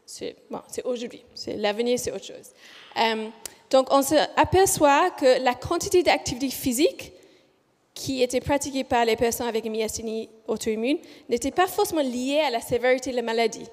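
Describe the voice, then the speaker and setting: High-voiced Australian woman presenting in a hall. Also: a little mellow. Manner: speaks slowly and deliberately, with frequent hesitations and self-corrections. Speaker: physiotherapist researcher presenting a study. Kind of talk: conference presentation. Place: large conference hall. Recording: long hall reverb.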